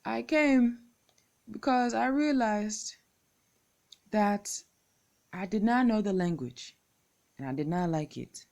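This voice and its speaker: A young American woman reciting a poem and making a slow, deliberate appeal as a contest entrant. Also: mid-range voice, gravelly, darkish tone. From United States. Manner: slow, deliberate, measured phrasing. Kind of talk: recorded poetry recital and appeal.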